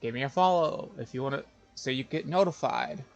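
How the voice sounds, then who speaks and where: Male streamer, creaky medium-pitched voice, casual rambling chat, halting and repetitive. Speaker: live streamer.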